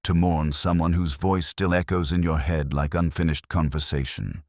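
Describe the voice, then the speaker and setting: A man voicing a weary, composed widowed woman in a narrated mystery story, speaking in a quiet, deliberate, resigned way. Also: sits low, fairly even intonation, no room sound, studio quality. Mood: weary reflection.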